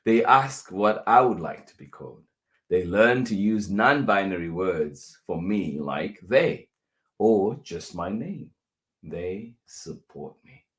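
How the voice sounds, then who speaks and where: A man reading a children's picture book aloud as a teacher would, deliberately, with pauses between phrases. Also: low-pitched, expressive, fairly bright tone.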